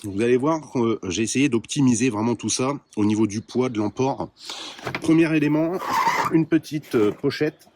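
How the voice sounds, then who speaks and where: A man casually presenting his radio kit to viewers, talking in a relaxed way with many pauses and fillers. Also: mid-range voice, a little hiss or hum. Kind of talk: casual hands-on gear demo.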